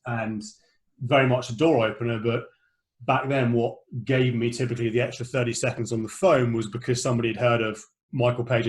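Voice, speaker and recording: A middle-aged man hosting a podcast from home over a remote call, talking in a loose, rambling way with lots of fillers and restarts. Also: medium pitch. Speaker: podcast host. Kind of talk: casual podcast interview.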